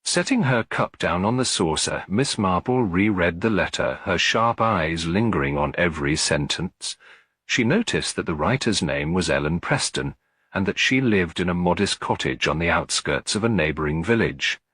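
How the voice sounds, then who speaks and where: A man's voice: low-pitched, expressive, moderate pace, a bit bright, slightly rough, no room sound, clean studio sound. A man reading a mystery story aloud as a scripted audiobook narration.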